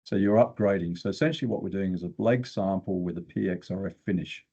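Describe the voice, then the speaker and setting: A man's voice: sits low, fast talker, gravelly, mellow, husky, highs cut off. An older man speaking as a guest expert, explaining technical material in a plain-spoken way with short emphatic phrases. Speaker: guest expert in exploration geochemistry.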